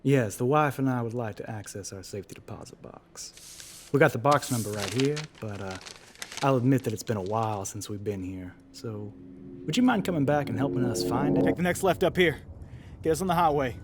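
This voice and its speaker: A man's voice: medium pitch, brisk, background noise, lightly acted. A man voicing a volatile, scheming bank robber in an audio roleplay, with a folksy Southern US accent and coaxing pet names for his partner. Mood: smooth menace.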